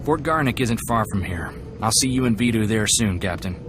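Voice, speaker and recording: An actor playing a folksy, earnest, hot-headed young gunslinger in a video game. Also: male voice, on the low side, animated, moderate pace, over music, lightly acted. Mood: frustrated, earnest.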